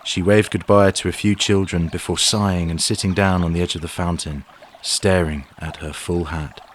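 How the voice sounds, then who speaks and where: A man's voice: sits low, steady tone, moderate pace, slight background noise. A man narrating a scripted fantasy story for an audio drama.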